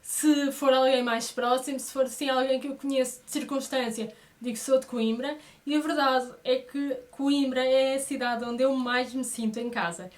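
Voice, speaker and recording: A woman aged thirty with a high voice and a European Portuguese accent. She speaks as a vlogger, chatting casually and straight to her audience, with expressive emphasis on her words. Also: normal speed, few pauses. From Brazil, moved to Portugal aged six. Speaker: vlogger introducing her channel. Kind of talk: casual chat to viewers.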